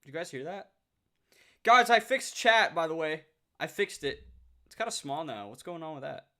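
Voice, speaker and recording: Young man, nineteen, livestreamer, slightly high voice, bright and forceful, excitable, casual swearing. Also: normal speed. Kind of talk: casual livestream chat reading viewer comments.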